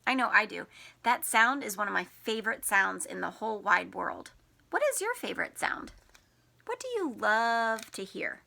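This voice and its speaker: A woman teacher reading a picture book to young children in an expressive, sing-song way, putting questions to them. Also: medium pitch, normal speed, gravelly. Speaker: teacher and storytime host.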